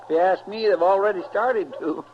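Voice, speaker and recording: Male actor as soft-hearted Western deputy's helper, rural twang, respectful, higher register, muffled vintage radio drama recording. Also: brisk, natural voice. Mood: folksy, admiring. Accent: rural American, Western drawl. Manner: folksy, deferential drawl. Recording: dull, noisy old radio recording.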